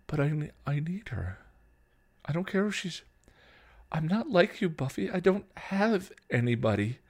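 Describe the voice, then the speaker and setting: A man performs an angry teenage girl in a storybook drama, hurt and defiant toward an older sibling. The pitch is lifted high, with stammering, emotional accusations. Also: big pitch swings, measured pace, darkish tone, full character voice. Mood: tearful, angry.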